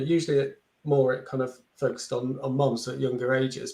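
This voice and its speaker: A man's voice: mid-range voice, creaky, mellow, roomy sound. A man speaking as a webinar panelist over a video call, hesitant and searching for his words.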